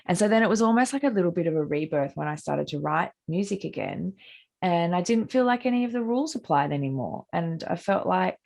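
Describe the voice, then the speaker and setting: A middle-aged woman with an Australian accent talks casually about her music in a low, husky voice with plenty of gravel in it. She rambles through her answers with frequent fillers and self-corrections, heard over a video call. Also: expressive, fairly quick, darkish tone. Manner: rambling, many likes and restarts. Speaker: musician interviewee.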